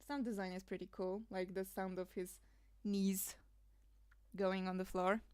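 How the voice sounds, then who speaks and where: A woman's voice: medium pitch, flat delivery, unhurried, darkish tone, smooth, dry recording. A woman from Poland, rambling and laughing through casual livestream commentary, full of fillers. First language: Polish. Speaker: streamer.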